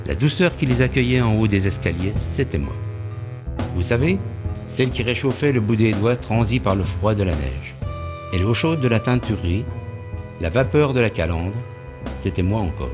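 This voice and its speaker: A man's voice: lowish voice, lively intonation, normal speed, lightly acted. A man playing a forgotten, monstrous old factory boiler in a solemn, poetic theatrical monologue over music. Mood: solemn, nostalgic.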